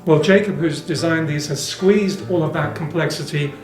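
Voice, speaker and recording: A man's voice: fairly high pitch, normal speed. A man giving an informal, detailed product demonstration as an exhibitor, explaining technical points. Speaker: exhibitor presenting hi-fi equipment. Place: indoor hi-fi show demonstration room. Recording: roomy show demo room with music playing. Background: music playing.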